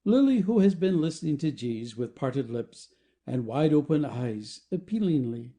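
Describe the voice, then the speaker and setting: A man's voice: fairly high pitch, sing-song, moderate pace, mellow, husky. A man reading stage directions as scripted narration in an audio play.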